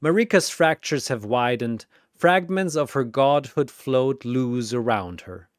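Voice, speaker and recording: A storytelling narrator reads a dramatic scripted battle tale at a slow pace. The delivery is animated in pitch, and the sound is close and dry. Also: no room sound.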